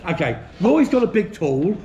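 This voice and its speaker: A man's voice: high voice, sing-song, normal speed, echoey room, highs cut off, background noise. A man from the English Midlands hosting a casual chat, teasing his friends bluntly and laughing often. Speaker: channel host. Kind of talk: joking banter among friends.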